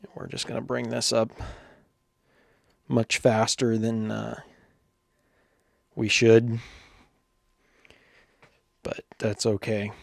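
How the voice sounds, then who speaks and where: A man giving casual, hands-on repair narration as a repairer, thinking aloud in an informal, halting way with muttered asides. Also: medium pitch, little pitch movement, creaky, no room sound. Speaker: vintage radio repairer presenting his own video.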